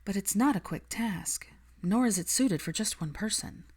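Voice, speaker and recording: A woman's voice: mid-range voice, normal speed, a little mellow, slightly rough, pitched above the performer's own voice, lightly acted. A woman narrator acts out an idealistic young witch in a fan fiction audiobook. Her voice is lifted and light, with an earnest, thoughtful delivery. She sounds principled and sincere. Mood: earnest, reassuring.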